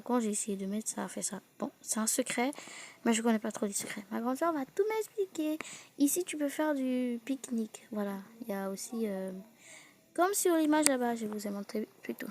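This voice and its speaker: Congested young woman, high-pitched, noisy recording. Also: darkish tone. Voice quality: congested, blocked-nose voice. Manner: halting, repeating words, exclaiming. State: sick with a cold. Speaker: host of a game house tour video. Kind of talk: casual improvised tour commentary. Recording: noisy amateur recording with clicks.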